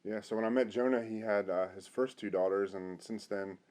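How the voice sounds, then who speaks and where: A middle-aged man, a pastor, hosting an informal conversational interview in a halting way. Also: fairly low pitch, steady tone, darkish tone. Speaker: host, pastor.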